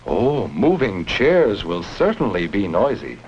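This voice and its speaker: Male narrator, low and very animated, 1950s school-film style, instructive questions to children, dull noisy soundtrack. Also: unhurried. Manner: instructive, simple phrasing with leading questions.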